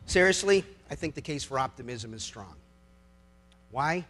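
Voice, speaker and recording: A middle-aged American man delivering an impassioned political speech to a live audience, emphatic, with rhetorical questions and dramatic pauses. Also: mid-range voice, expressive, fairly bright tone. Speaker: lawyer giving a public speech.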